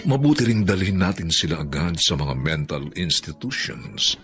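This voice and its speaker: A man with a Filipino accent hosting a radio drama with solemn, deliberate, dramatic scripted narration. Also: low-pitched, big pitch swings, fairly bright tone, soft background music.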